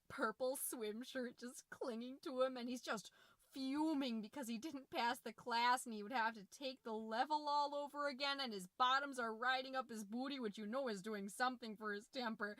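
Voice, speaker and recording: A chatty suburban mom played by a woman speaks in a high voice, close and dry. She delivers a scripted comedy podcast monologue with folksy, theatrical flair and drawn-out emphasis on choice words. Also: fairly bright tone, dry recording, natural voice. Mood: amused, fond. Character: chirpy, chatty, dramatic suburban mom hosting book-club podcast. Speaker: podcast host.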